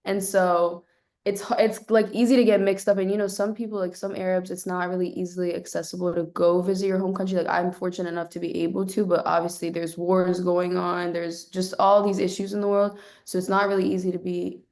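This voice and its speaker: Casual young woman, flat delivery. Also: on the low side, a little fast. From United States. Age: young adult. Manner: casual, filler-heavy with "like" and "you know". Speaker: interviewee, student society president. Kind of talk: casual interview.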